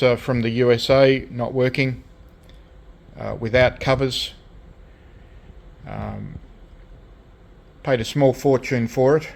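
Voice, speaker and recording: An Australian man giving a casual hands-on show-and-tell as a hobbyist restorer, speaking in halting, piecemeal phrases. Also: mid-range voice. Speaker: radio restorer presenting own collection. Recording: clear background noise, hobby video.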